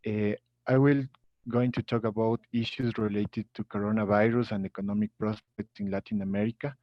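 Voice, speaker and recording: A man's voice: lowish voice, level tone, a little fast, dry recording. A man from Ecuador with a Spanish accent, presenting on a video call, hesitant with frequent uhs. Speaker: guest speaker, economist. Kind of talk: online presentation. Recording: dull, dry lo-fi call audio.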